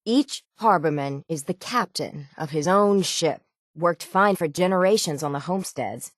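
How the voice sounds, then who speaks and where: Woman acting as hard-bitten female shipwright, lowish pitch, salty and plainspoken, stubborn, video game dialogue, dry close sound. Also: moderate pace, dry recording, natural voice. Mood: bitter, resentful. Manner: salty, plainspoken, folksy nautical talk.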